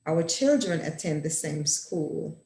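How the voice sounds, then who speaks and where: A woman's voice: sits low, expressive, slow pace, vocal fry, warm tone, a little hiss or hum. A woman hosting a talk show, giving formal, scripted introductions. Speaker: host. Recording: echoey room.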